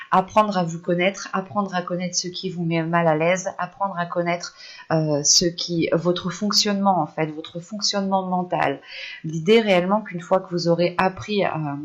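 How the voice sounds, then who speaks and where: Low-voiced woman reading tarot, muffled recording. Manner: hesitant, searching for words, repeats phrases. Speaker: tarot reader. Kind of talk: improvised spoken tarot card reading.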